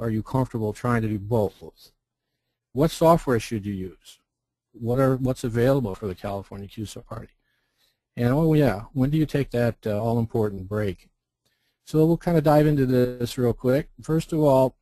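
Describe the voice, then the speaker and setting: A man's voice: mid-range voice, mellow, no room sound. A man presenting a webinar in an explanatory, instructional manner, walking through options step by step. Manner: instructional, poses rhetorical questions, lists options step by step. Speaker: presenter, amateur radio contest representative.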